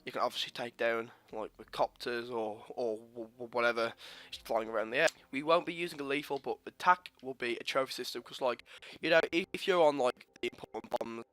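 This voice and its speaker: A man's voice: medium pitch, fairly even intonation, fairly quick, hardly any pauses, a bit bright. A young man with a slightly congested sore throat, casually talking through a game class setup with lots of fillers and restarts. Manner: rambling, many fillers and restarts. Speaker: gaming channel host. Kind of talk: casual gameplay commentary over menus.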